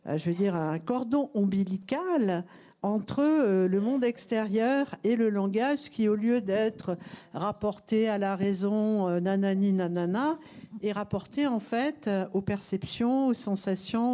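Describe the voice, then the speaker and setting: A woman author on a panel, speaking enthusiastically and pausing to search for words. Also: mid-range voice, mellow. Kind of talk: panel discussion.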